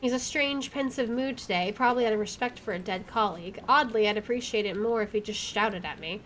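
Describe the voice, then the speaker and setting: A woman's voice: medium pitch, fairly quick, a bit bright, slight background noise, low-quality recording. A woman doing playthrough commentary and reading game text aloud, with a playful, wisecracking manner. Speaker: video game let's player.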